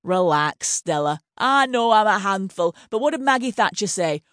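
A woman's voice: mid-range voice, lively intonation, moderate pace, fairly bright tone, no room sound, studio quality, voice pushed up, lightly acted. A woman voicing a blunt, foul-mouthed Northern English female Home Secretary with a swaggering, crude manner. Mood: teasing. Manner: blunt, crude, teasing then menacing.

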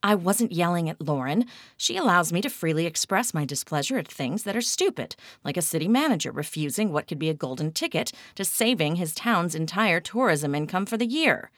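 A woman voicing a brash, quick-tempered female TV renovation star who talks bluntly and sarcastically. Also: lowish voice, animated, no echo, studio quality. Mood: fiery.

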